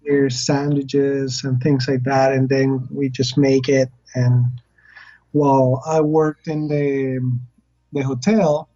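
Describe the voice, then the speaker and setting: A man from Chile, a chef talking casually as a guest and often pausing to search for words. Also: mid-range voice, level tone, warm tone. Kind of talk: casual interview chat. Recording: dull lo-fi recording.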